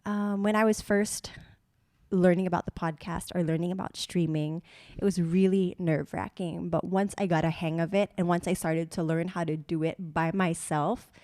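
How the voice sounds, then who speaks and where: A woman's voice: on the low side, steady tone, a little mellow, no room sound, clean studio sound. A young woman from the Philippines co-hosting a casual couple's podcast, reading out questions and chiming in supportively. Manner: supportive, reads out questions, quick affirmations. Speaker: podcast co-host.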